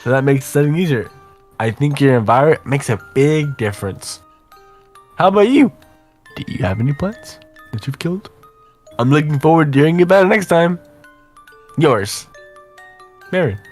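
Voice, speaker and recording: A man's voice: fairly high pitch, expressive, moderate pace, long pauses, a little mellow, faint music behind. A man voicing a chatty, affectionate young female letter writer in a visual novel, conversational and self-deprecating. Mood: cheerful.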